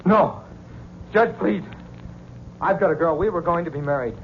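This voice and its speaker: A man's voice: fairly high pitch, normal speed, lightly acted. A man playing a bitter, impassioned condemned murderer, defiant and desperate, in 1940s radio drama style. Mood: desperate pleading. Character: bitter, pleading condemned male murderer, vengeful. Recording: tinny, faintly noisy old radio recording.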